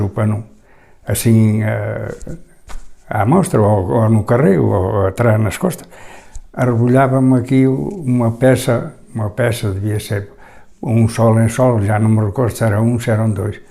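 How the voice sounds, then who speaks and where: An older man from Portugal, an interviewee, recalling his youth in halting casual talk with many restarts and searches for words. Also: lowish voice, creaky, mellow. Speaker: local interviewee.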